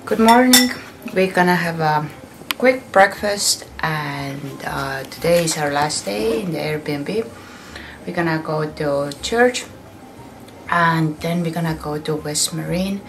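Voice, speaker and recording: Slow-talking cheerful young woman. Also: low-pitched, background noise. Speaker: sailing vlogger. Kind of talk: casual vlog chat. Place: indoors, cabin and rented bedroom.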